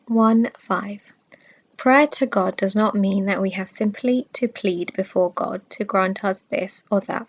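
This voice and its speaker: A woman reading a scripted religious study in a measured, clearly phrased manner. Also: mid-range voice, highs cut off. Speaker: reader of religious study text.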